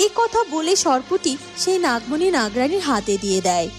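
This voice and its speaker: A woman's voice: high-pitched, sing-song, normal speed, faint music behind. A woman reading scripted narration for a fairy-tale story.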